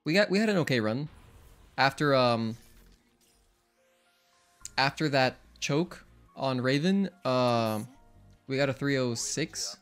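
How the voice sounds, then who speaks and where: A young man chats through his live-streamed speedrun in a loose, rambling way, often correcting himself mid-sentence. His voice sits at an ordinary mid pitch, recorded on a home desk mic. Soft game music plays faintly underneath. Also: lively intonation, takes it slowly, long pauses, clear, resonant tone. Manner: chatty, rambling, self-correcting. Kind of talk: casual live-stream commentary.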